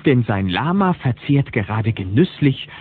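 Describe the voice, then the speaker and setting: A man's voice: medium pitch, very animated, a little slow. A man narrating a children's cartoon audio play as a wry storyteller, on lo-fi cassette audio. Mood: wry, suspenseful.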